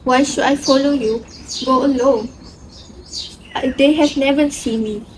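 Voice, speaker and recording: A woman plays an anxious, unwilling young stranger in an amateur drama. Her voice is high, with clear outdoor noise and birdsong behind it. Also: fairly even intonation, a little slow. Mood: defiant.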